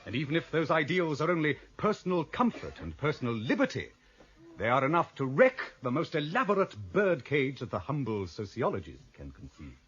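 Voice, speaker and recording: Husky, wildly animated lecturer. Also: male voice, fairly high pitch, brisk, exaggerated voice. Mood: impassioned, weary. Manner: theatrical, emphatic, sardonic. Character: exasperated, sardonic male university lecturer, passionate and condescending. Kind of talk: dramatic lecture in a radio play. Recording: dull, muffled old radio drama recording.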